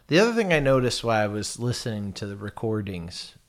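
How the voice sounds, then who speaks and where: A man's voice: lowish voice, big pitch swings, brisk. An American man with a noticeable lisp, talking casually to his viewers in a rambling, profane, self-mocking way. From United States. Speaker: vlogger. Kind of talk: casual chat.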